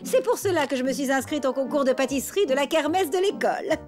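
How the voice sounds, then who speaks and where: A woman's voice: high-pitched, animated, fast talker, music in the background. A woman voicing a smug, condescending female elephant mother in a French cartoon dub, with a pompous manner.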